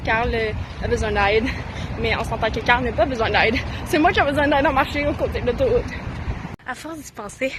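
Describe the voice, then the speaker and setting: A woman's voice: on the high side, noisy background. Chatty Quebec woman vlogging outdoors. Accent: Quebec French. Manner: chatty, excitable, breathless when hurrying. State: slight cold, sore throat. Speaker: hide-and-seek player vlogging. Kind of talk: casual self-filmed vlog narration. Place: outdoors on busy city streets.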